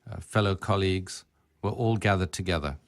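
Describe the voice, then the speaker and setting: A man being interviewed on the radio, speaking formally with ornate, deliberate wording. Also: deep voice, no echo, clean studio sound. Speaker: interview guest, winery business owner.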